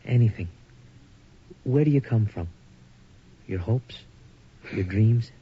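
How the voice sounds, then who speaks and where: A man's voice: lowish voice, slow, husky, exaggerated voice. A stammering, awkward young guy on a date. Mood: awkward eager. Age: young adult. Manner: hesitant, stammering. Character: nervous, earnest young man smitten on a date. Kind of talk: 1980s radio drama acting. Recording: dull older radio recording.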